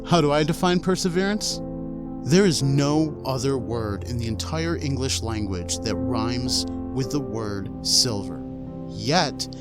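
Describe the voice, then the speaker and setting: A man delivering a scripted comic monologue in a deadpan manner. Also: medium pitch.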